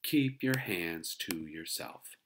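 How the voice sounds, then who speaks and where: A man's voice: deep voice, expressive, takes it slowly, a bit bright, slight background noise, heavily acted. A man voicing a strict, exasperated mother of a young girl in a children's storybook, firm and scolding.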